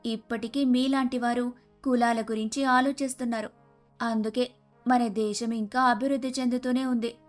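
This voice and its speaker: A woman playing an outspoken, principled young daughter-in-law in a Telugu animated moral story, speaking in an earnest, preachy way. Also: fairly high pitch, moderate pace, close to an everyday voice. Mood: earnest, lecturing. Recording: music under the voice.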